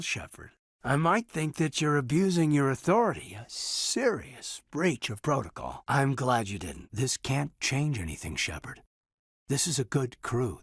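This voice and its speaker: A man voicing an earnest, loyal military officer in a sci-fi video game, sincere and restrained, with a husky voice. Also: medium pitch, no echo, clean studio sound, exaggerated voice. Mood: flirting, guarded. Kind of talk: naturalistic dramatic game dialogue.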